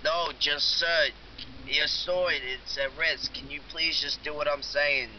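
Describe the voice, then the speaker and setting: A man acts out a pushy security technician on a phone call, insistent and pleading. His voice sits a little high, and the recording is dull and lo-fi with faint hiss. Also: steady tone, measured pace, close to an everyday voice. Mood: urgent, pleading. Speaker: prank caller.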